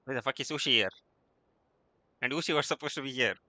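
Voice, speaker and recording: A man's voice: medium pitch, fast talker, pushed and bright, no room sound. A man livestreaming a game with casual, off-the-cuff commentary, with slight noise in the recording. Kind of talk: casual playthrough commentary, muttering and echoing dialogue.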